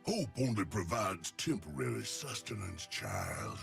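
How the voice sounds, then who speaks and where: A man voicing a proud, ancient celestial creature in a video game, gravelly, haughty and theatrical. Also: low-pitched, wildly swooping pitch, unhurried, soft background music, full character voice. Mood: cold, ominous. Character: proud ancient male celestial beings, grandiose.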